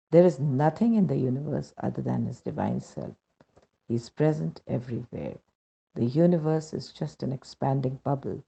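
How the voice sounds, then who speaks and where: A very dark, mellow voice reads a devotional testimony aloud. It is heavily creaky and quite husky, with emphatic, dramatic phrasing that sets each short phrase apart. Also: mid-range voice, muffled recording. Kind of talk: scripted narration of a devotional testimony.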